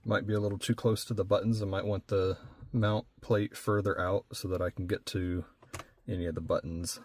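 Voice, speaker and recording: Low-voiced man thinking aloud as he works. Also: flat delivery, a little hiss or hum. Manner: unhurried thinking aloud while working. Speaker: host of hands-on car accessory install video. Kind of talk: casual hands-on demonstration talk.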